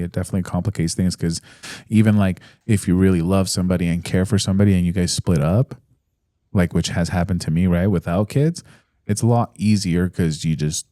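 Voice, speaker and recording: A man in his thirties with a very low, mellow voice co-hosts a casual podcast. He reads listener comments aloud in a relaxed, hesitant way, with fillers. The sound is dry and close. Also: no room sound. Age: 30s. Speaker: podcast co-host.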